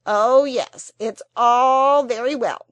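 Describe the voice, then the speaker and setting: A woman voicing a peevish squeaky sand creature. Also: takes it slowly, no echo. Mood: grumpy. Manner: peevish complaining, squeaky when upset. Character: grumpy, self-pitying male sand fairy creature. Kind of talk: storybook character voice.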